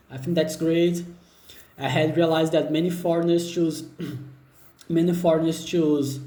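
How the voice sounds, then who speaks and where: Man, 20s, Brazilian Portuguese accent, mellow, hesitant fillers, echoey laptop recording. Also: on the high side. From northeast Brazil. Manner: unhurried, hesitant with frequent fillers and restarts. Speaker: job seeker. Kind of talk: self-introduction video.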